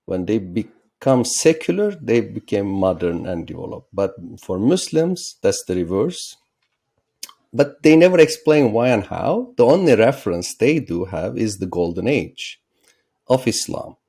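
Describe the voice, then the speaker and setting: A middle-aged man from Turkey speaks as an interview guest. His voice sits low and warm, and he explains in a measured, lecturing way, pressing hard on key words. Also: very animated, slightly rough. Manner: measured, lecturing, with rising emphatic stresses. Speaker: political science professor, guest.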